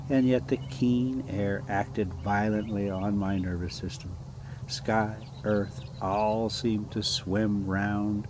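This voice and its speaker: A man reading a classic adventure novel aloud as an amateur storyteller, in a halting, phrase-by-phrase way. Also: lowish voice. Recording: steady background noise.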